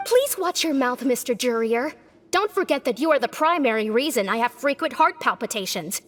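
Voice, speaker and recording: Prim, proper female infirmary helper: high, animated voice for a game dub, long hall reverb. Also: moderate pace, slight background noise. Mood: indignant. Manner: polite, proper, lecturing. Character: prim, earnest female prisoner helping as nurse. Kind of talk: English game dub acting.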